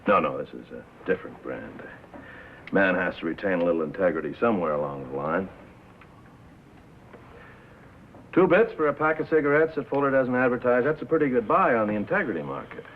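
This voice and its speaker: A man's voice: lowish voice, big pitch swings, quick pace. A sardonic american publicity man in a 50s movie, voice jumps around a lot, muffled narrow old audio. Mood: sardonic, bitter. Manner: wry, sardonic. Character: cynical, worldly male press agent. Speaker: actor in a feature film. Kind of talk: 1950s film drama dialogue. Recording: muffled vintage film soundtrack, faint noise.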